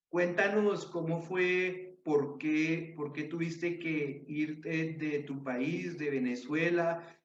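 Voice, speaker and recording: A roomy, slightly muffled recording of a male podcast host with a high-pitched voice. He presents his guest and poses interview questions. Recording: dull, roomy, lo-fi sound.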